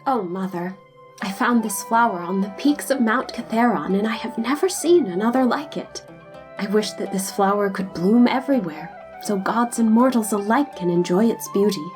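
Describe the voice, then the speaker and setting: A woman plays an innocent young goddess of spring in an audio drama. Her voice is mid-pitched, sweet and wistful, with music playing behind it. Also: moderate pace, darkish tone, natural voice. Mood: wistful, hopeful.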